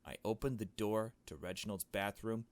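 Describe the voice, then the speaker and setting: A man reading a visual novel aloud as a let's player, in hushed storytelling narration with casual asides. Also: on the low side, moderate pace, no room sound.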